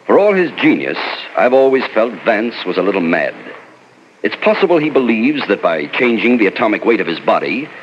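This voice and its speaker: An actor playing a thoughtful, measured scientific doctor who explains in a reasoned way in a 1940s radio drama. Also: male voice, fairly low pitch, very animated. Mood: pondering. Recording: dull old radio recording, faint noise.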